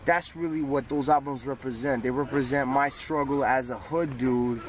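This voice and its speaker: A man's voice: medium pitch, steady tone, moderate pace, a crowd in the background. A man from Toronto with a Toronto accent, talking casually and emphatically in street slang as a rapper being interviewed, often repeating himself. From Toronto, Canada. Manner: emphatic, repetitive, peppered with slang. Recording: noisy outdoor street with traffic.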